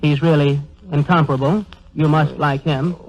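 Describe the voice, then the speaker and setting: Male actor as eager comedy straight man: flowery talker, slightly high voice, dull 1930s radio sound. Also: steady tone, normal speed, darkish tone, close to an everyday voice. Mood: chatty, coaxing. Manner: flowery, effusive vocabulary, stammering repeats. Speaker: comedian in a double act.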